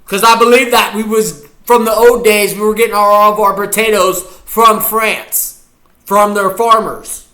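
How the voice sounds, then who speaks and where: A man's voice: high-pitched, steady tone, unhurried, pushed and bright, low-quality recording. A man chatting goofily to viewers while he reacts to a football game live. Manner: chatty, goofy, talks to viewers. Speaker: streamer watching a football game. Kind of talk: casual live reaction chat.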